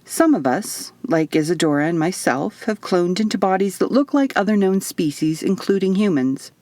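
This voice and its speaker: A woman's voice: fairly low pitch, lively intonation, normal speed, mellow, dry recording, natural voice. A woman voicing a stern, matter-of-fact male alien guardian in human form for an audiobook, explaining things firmly. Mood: measured, explaining. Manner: firm, explanatory. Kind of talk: audiobook character dialogue.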